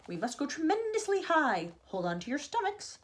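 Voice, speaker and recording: A woman voicing an eccentric, excitable male inventor in a storybook, theatrical and exclamatory. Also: high-pitched, normal speed, pitched above the performer's own voice. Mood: excited, urgent. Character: eccentric excitable man, grand fantastical inventor.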